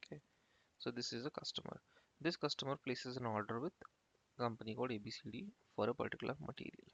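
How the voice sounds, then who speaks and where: A man giving an informal software tutorial as an instructor, explaining step by step in a halting, repetitive way. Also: mid-range voice, gravelly, slightly rough. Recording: muffled lo-fi screen-recording voice-over.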